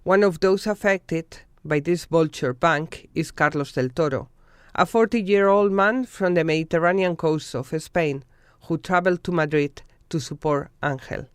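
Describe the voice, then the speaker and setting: A documentary narrator reading a scripted news report in a measured, formal way from a studio voice-over. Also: mid-range voice, clean tone, no room sound.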